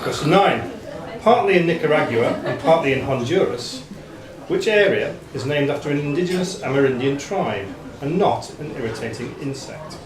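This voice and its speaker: A man's voice: a little high, animated, moderate pace. A man reading quiz questions aloud to contestants as the quizmaster. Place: pub quiz room. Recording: echoey pub room, distant mic, crowd noise.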